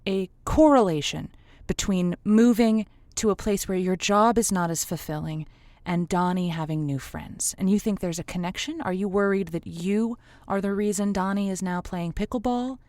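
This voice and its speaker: A woman's voice: mid-range voice, moderate pace, a little mellow, no room sound, lightly acted. A woman playing an earnest, indulgent radio advice host in an improvised audio comedy, soothing as she counsels callers. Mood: earnest, counselling.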